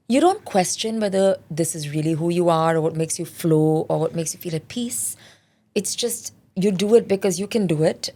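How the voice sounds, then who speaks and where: A woman's voice: on the low side, dark tone. A woman in her forties, born in India, speaking as a parenting specialist in a candid, reflective interview. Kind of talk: conversational interview.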